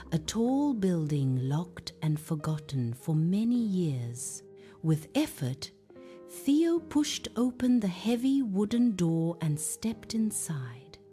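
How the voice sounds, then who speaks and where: A woman's voice: fairly low pitch, dark tone. A woman narrating a scripted moral story for children as a storyteller.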